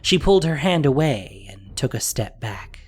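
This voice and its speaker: A man's voice: fairly high pitch, big pitch swings, normal speed. A man narrating a fantasy audio drama in a scripted, dramatic storytelling style.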